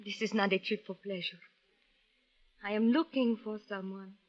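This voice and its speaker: A woman's voice: medium pitch, fairly even intonation, moderate pace, lightly acted. An actress playing a polite, earnest Syrian woman in an old radio drama, speaking in formal, precise phrases. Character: polite, earnest, worried Syrian wife seeking her missing husband. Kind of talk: 1940s-50s radio drama acting. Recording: dull old radio recording.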